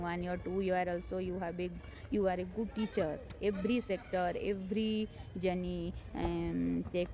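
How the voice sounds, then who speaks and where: A woman's voice: lowish voice, dark tone, poor audio. A woman whose first language is not English gives unscripted learning tips as a homemaker, hesitant and encouraging, with many fillers and restarts. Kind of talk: unscripted motivational talk to camera. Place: home.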